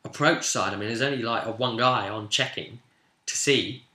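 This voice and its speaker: Bright-voiced man recapping a tabletop game. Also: mid-range voice, moderate pace. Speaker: game master recapping a session. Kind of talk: informal recap of a tabletop fantasy campaign. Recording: echoey room sound.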